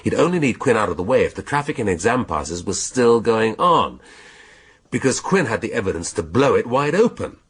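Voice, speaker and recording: An actor playing a shrewd British police inspector in a radio drama, questioning in a measured, drily needling way. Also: male voice, on the low side, big pitch swings, breathy edge, heavily acted. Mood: firm, explaining.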